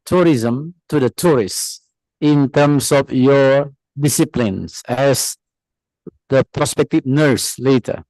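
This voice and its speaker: A man's voice: mid-range voice, slow, darkish tone, no room sound, rough recording. Male judge questioning in a dry room. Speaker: competition judge. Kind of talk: questioning a contestant.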